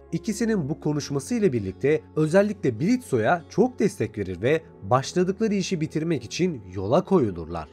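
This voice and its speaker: A man's voice: fairly high pitch, a little mellow. A man reading scripted recap and review narration as a video narrator. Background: background music.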